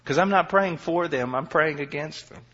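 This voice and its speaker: A man in his forties preaching a sermon with passion. He moves from quiet, low passages to shouted peaks and mixes in self-deprecating humor. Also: moderate pace, husky. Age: 40s. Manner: impassioned, swinging from quiet to shouting. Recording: dull lo-fi recording.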